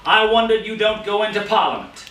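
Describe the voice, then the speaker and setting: A man acts out a miserly old gentleman from a storybook, gruff, curt and full of scorn. He bites out his words with emphasis, in an echoey, distant recording with some noise. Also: high voice, a little fast, voice pushed up. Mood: menacing, sarcastic.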